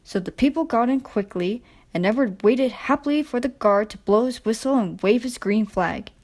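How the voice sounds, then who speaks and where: A woman's voice: on the high side, normal speed, darkish tone. A woman reading a children's story as a scripted storybook narration.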